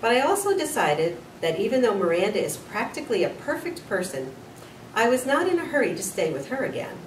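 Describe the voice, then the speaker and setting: A woman reads a children's story aloud with a lively, varied pitch. She is expressive and a little informal. The recording is roomy, slightly off the mic. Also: fairly quick. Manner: expressive, with small slips and corrections. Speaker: school nurse reading to children. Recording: roomy, distant mic with faint noise.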